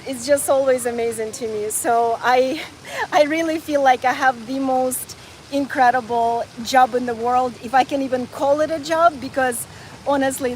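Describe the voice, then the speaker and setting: Woman, forties, high voice, enthusiastic, chatty, word repeats, live walking stream, outdoors. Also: a little hiss or hum, low-quality recording. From Ukraine, raised in North Carolina. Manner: enthusiastic, chatty, repeats words as she talks. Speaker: travel vlogger. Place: outdoors in a city park and square.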